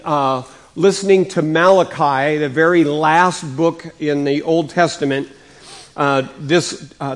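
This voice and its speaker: Slightly raspy male preacher, higher-pitched. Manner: conversational, with many fillers and restarts; rises and gets louder for emphasis. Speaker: pastor. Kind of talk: sermon.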